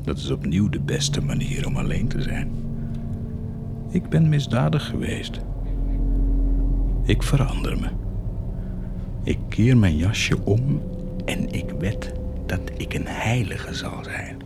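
An actor in a radio drama playing a defiant warlord who gambles with God, declaiming between murmur and bark. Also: male voice, lowish voice, big pitch swings, takes it slowly, vocal fry, long pauses, very noisy, full character voice. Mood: provocative. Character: defiant, theatrical warlord gambling with God, self-confessed villain.

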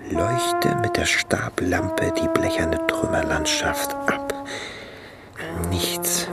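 An actor playing a nosy small-town reporter who dictates terse, often whispered notes in a radio play. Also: male voice, a little high, heavily acted. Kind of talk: radio drama acting. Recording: radio play with loud music.

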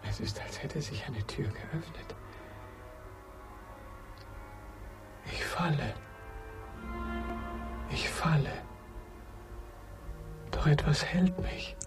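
An actor playing an anguished, tender man trapped in a dark crypt, in a theatrical and halting German radio drama style. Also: a little high, lively intonation, in a whisper, over music. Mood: faint awe. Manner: theatrical, intense, halting delivery.

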